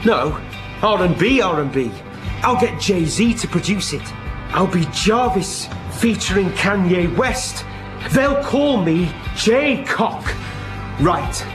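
A man's voice: high voice, expressive, slow pace, over music. An actor playing a self-important, washed-up male pop star in a radio comedy play, with a theatrical manner. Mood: grandiose. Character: washed-up male pop star turned grumpy lighthouse keeper, grandiose.

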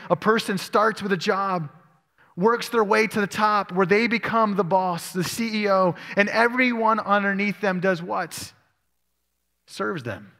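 A man's voice: high-pitched, fairly bright tone. A male pastor preaching a sermon, reading scripture aloud and asking his congregation questions. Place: church sanctuary. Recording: long church hall reverb.

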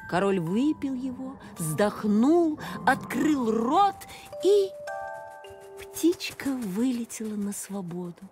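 A woman reading a fairy tale as an expressive storybook narrator. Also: on the high side, slow pace. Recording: music behind the voice.

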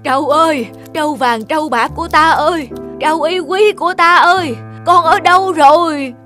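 A man playing a gentle, humble old peasant with a plaintive manner, in a cartoon folk tale. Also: very high-pitched, moderate pace, faint music behind. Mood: distressed. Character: gentle, distressed old male peasant devoted to his buffalo.

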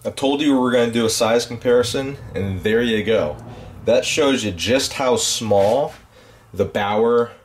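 Plainspoken man reviewing a power drill. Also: lowish voice. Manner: plainspoken, frequent ums and uhs. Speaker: tool reviewer. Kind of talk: casual product review voice-over.

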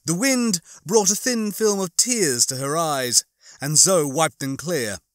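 A man narrating a horror science fiction audiobook in a measured, expressive, suspenseful way. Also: on the high side, no echo, studio quality. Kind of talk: dramatic scripted narration of a horror sci-fi novel.

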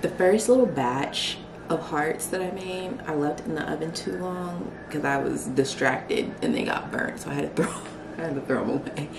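A young woman talking casually to her camera at home, soft and reflective, a little emotional. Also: low-pitched, moderate pace, creaky, few pauses, far from the mic, background noise. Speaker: vlogger. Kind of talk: casual vlog talk. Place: small home kitchen and living room. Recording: roomy, from the mic, music behind.

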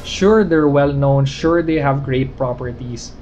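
A man's voice: medium pitch, a little slow, darkish tone, faint music behind. A man in his thirties from the Philippines, giving a candid, conversational stock review vlog from his living room. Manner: candid, conversational, gestures while explaining. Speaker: finance vlogger.

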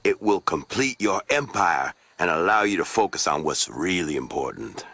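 A steady cartel plantation owner in a crime game. His voice is very deep and husky, and his delivery is measured and persuasive. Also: dry recording, exaggerated voice. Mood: earnest business. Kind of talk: video game cutscene acting.

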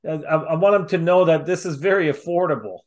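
Halting male interviewer, slightly high voice. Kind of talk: casual interview.

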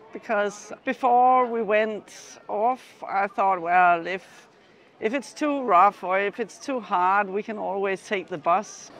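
Danish woman, 50s, reflective interviewee, low voice for a woman, lapel mic in a city square. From Denmark. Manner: reflective, laughs while talking. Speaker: interviewed pilgrim. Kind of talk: casual street interview.

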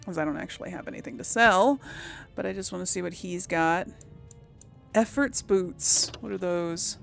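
A woman's voice: lowish voice, moderate pace, vocal fry, a bit bright. A woman casually commenting on a role-playing video game, thinking aloud and laughing often. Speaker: let's play host. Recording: voice over game audio with faint music.

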